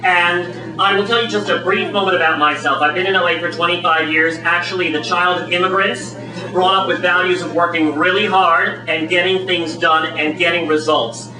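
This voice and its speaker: High-voiced man giving a polished campaign pitch. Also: fairly even intonation, normal speed. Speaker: city council candidate. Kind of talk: campaign speech. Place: community meeting hall. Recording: echoey hall from far off.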